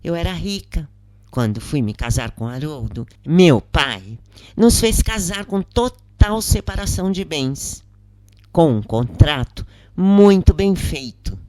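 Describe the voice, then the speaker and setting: Woman voicing a slow, repentant lady spirit. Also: low-pitched, very animated, warm tone, dry recording, heavily acted. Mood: frank, repentant. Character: dead rich woman's spirit, repentant, frankly confessing.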